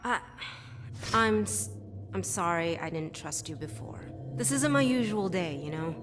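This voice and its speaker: A woman playing an earnest, apologetic young woman in a video game, speaking haltingly. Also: fairly low pitch, expressive. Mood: apologetic, hopeful. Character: apologetic, hesitant young woman confiding in someone. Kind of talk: scripted game dialogue. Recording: loud music behind.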